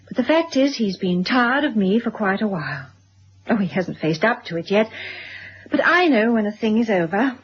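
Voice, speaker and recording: Husky, candid other woman, muffled recording. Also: medium pitch. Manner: articulate, frank, self-deprecating. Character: candid, apologetic mistress confessing to her lover's wife. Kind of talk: 1970s radio drama acting. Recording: dull lo-fi vintage radio recording.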